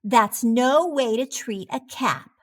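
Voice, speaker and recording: A woman's voice: fairly high pitch, slow pace. A woman voicing a firm, no-nonsense shark mother in a storybook, reasoning matter-of-factly.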